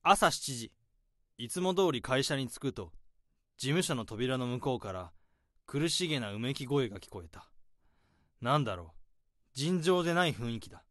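A man in his thirties narrating a radio drama in the first person, in a slow, subdued, deadpan way. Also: medium pitch, no echo, clean studio sound. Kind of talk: radio drama inner monologue.

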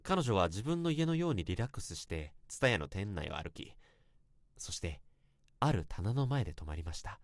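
A man plays an earnest young male office worker in a radio drama, confessing an old infatuation. His low voice moves expressively through polite, literary narration. It is recorded close and dry. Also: big pitch swings, moderate pace, dry recording. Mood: earnest, confessional. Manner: polite, formal, literary storytelling.

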